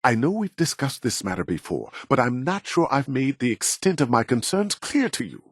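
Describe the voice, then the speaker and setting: An actor playing a proud, middle-aged robotics scientist in a video game, speaking in a measured, formal and condescending way with a husky voice. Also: male voice, medium pitch, very animated, no echo, clean studio sound. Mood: concerned, admonishing. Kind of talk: scripted video game dialogue.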